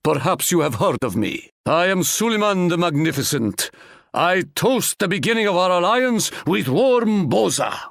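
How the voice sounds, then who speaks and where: A man voicing a proud, boastful male sultan in a video game, speaking in a grand, measured way and fond of stately proverbs. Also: high-pitched, very animated, gravelly, fairly bright tone, no room sound, studio quality, exaggerated voice. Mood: regal.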